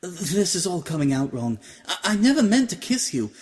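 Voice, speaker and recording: A man's voice: high voice, sing-song, in a large hall, pitched above the performer's own voice. Man doing a stammering, flustered young mare. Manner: stammering, halting. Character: shy flustered young mare musician, apologetic.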